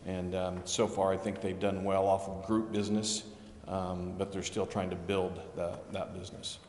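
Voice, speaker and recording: A very deep, monotone man picks his way through a council explanation, pausing on ums and correcting himself. He is picked up in a reverberant council chamber with a long echo and a faint hum behind him. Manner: hesitant, many ums and restarts. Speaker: city staff member presenting grant details.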